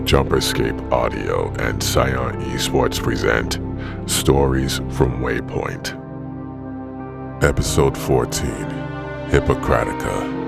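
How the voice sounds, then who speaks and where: An extremely low-voiced man announces an audio series from a script. His delivery is slow and solemn, heavy with fry, and loud music plays behind him. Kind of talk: scripted title-card narration.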